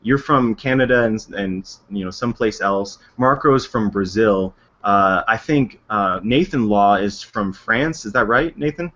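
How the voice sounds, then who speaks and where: A man's voice: lowish voice, a little slow, hardly any pauses. A man from the United States hosting a casual group chat over an online call, talking in eager bursts with frequent restarts. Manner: animated, talks in bursts with restarts. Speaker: stream host. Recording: dull lo-fi stream audio.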